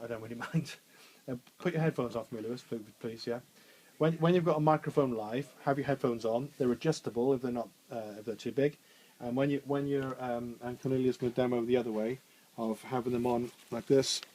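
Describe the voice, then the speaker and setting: Bassy studio trainer, chatty. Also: male voice, darkish tone, poor audio. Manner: chatty, informal instructor with frequent fillers and restarts. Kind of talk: hands-on technical demonstration. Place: radio studio.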